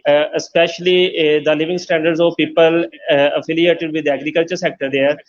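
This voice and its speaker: A man hosting an interview, speaking formally and haltingly with many fillers. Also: on the high side, level tone, lo-fi sound. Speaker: host.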